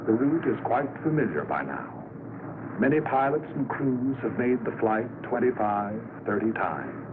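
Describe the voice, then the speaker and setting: An American man narrates a 1940s wartime documentary in a solemn, literary manner. His pitch moves expressively through each measured phrase. The sound is a thin, narrow-band vintage film track with plenty of noise behind it. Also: big pitch swings.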